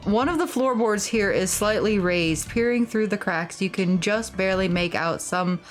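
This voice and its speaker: A woman's voice: medium pitch, moderate pace, soft background music. A woman game streamer reading game text aloud and commenting as she plays.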